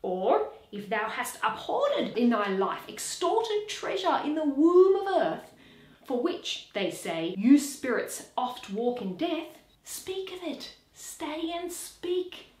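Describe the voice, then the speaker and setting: Woman playing a grave, slow-speaking nobleman. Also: high-pitched, very animated, roomy sound, voice pushed up, full character voice. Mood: fearful, urgent. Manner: deliberate, declamatory, with hushed dips. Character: grave scholarly Danish nobleman Horatio, awed yet commanding. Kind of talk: dramatic Shakespeare verse reading.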